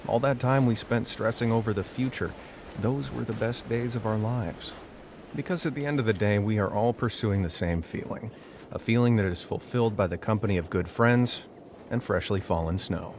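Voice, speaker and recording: Calm low male film narrator over music. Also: background noise. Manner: calm, wistful, measured. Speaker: voiceover narrator. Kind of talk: reflective scripted narration.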